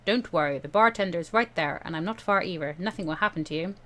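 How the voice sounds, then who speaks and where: A woman's voice: fairly low pitch, quick pace, pushed and bright, voice pushed down. A woman voicing a casual, wry adult male mentor in a visual novel, coaxing and giving instructions. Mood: instructing, reassuring.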